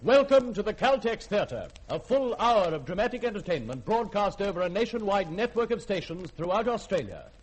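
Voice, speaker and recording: A man announcing a radio theatre in a formal, declamatory 1950s scripted style, with a slightly husky voice. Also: high-pitched, sing-song, normal speed, hardly any pauses, a little hiss or hum, poor audio.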